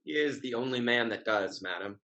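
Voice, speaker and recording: Man playing bright-voiced courteous gentleman. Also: medium pitch, animated, moderate pace. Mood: politely sly. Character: courteous, wry young gentleman playboy. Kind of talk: Restoration comedy stage acting.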